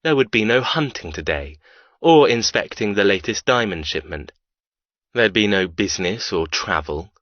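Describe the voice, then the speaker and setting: Man voicing a deep, brooding, arrogant criminal heir. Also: animated, moderate pace, a bit bright, dry recording, lo-fi sound. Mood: brooding, contemptuous. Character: cold, arrogant, menacing male diamond smuggler and biker heir. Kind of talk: first-person audiobook narration of dark romance.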